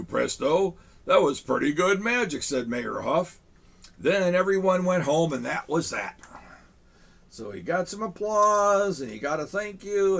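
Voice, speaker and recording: Gravelly man reading a children's book. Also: on the high side. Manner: warm, chatty grandfatherly asides to children. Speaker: storyteller in a Santa persona. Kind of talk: children's book read-aloud. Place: small studio set, indoors.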